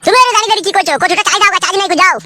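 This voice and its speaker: A man's voice: extremely high pitch, rapid-fire, background noise. A man voicing a cheeky, foul-mouthed, henpecked village husband in a comedy cartoon, with a rural West Bengal accent. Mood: flustered. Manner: brash, colloquial.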